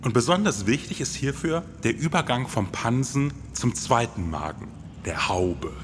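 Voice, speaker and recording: A man's voice: mid-range voice, animated, normal speed, background noise. A man in his forties co-hosting a scripted, performative podcast in an enthusiastic, colloquial manner. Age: 40s. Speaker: podcast co-host. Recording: long reverb, music underneath.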